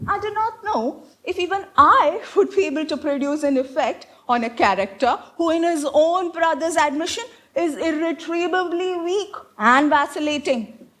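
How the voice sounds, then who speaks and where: A woman's voice: sits high, a bit bright. A woman playing a strict, prim Victorian governess in a stage comedy, pompous and given to moralizing. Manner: stern, pompous, declamatory. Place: live stage play.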